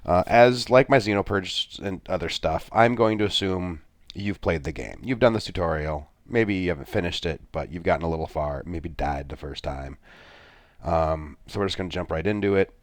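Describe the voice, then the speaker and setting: A middle-aged man with a soft, very low voice talks through a game walkthrough. His delivery rambles, dotted with filler sounds and self-deprecating asides. The sound is dry, with no room around it. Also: normal speed, no echo. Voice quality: soft-spoken. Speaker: gaming video creator. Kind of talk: gameplay walkthrough commentary.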